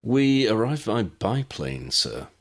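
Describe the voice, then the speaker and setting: A man's voice: lowish voice, very animated, slow pace, voice pushed up, exaggerated voice. A man voicing a young male British wizard agent in an audiobook, respectful and slightly hesitant. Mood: polite.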